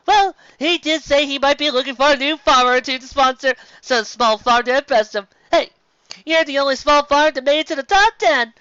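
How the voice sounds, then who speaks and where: A reader voicing an effusive high-society mare who raves in a high, theatrical voice, in a dull lo-fi recording. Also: normal speed, bright, forceful tone, dry recording. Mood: gushing.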